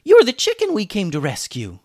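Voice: medium pitch, very animated, moderate pace, no echo, clean studio sound, pitched above the performer's own voice. A storyteller voicing an eager, earnest young boy on an adventure in a children's story.

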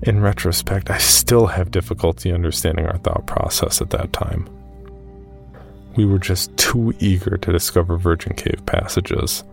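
A man playing an uneasy cave explorer, recounting his expedition in measured first-person horror narration. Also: sits low, steady tone, vocal fry. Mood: reflective, uneasy. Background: music.

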